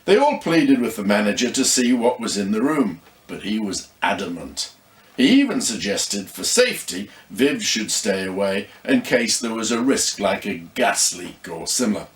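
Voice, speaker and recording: A man reading a story expressively, with dramatic pauses. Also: on the low side, big pitch swings, roomy sound. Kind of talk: scripted story narration.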